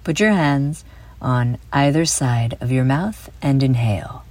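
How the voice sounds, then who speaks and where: A woman hosting a guided visualization for children, speaking in a soothing, deliberate way with gentle emphasis. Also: extremely low pitch, lively intonation, no echo. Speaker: host and guide of a children's mindfulness audio show. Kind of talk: guided visualization, scripted narration for kids.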